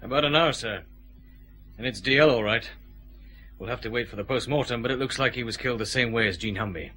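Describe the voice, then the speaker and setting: An actor playing a keen, respectful detective chief inspector in a radio detective drama. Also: male voice, mid-range voice, fast talker, pushed and bright, close to an everyday voice. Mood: businesslike.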